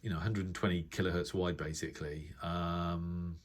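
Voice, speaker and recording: A man's voice: deep voice, fairly even intonation, moderate pace. A man giving casual, informal hobbyist commentary, thinking aloud and correcting himself as he rambles through explanations. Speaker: radio hobbyist vlogger. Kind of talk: casual informal commentary, reviewing a reference book.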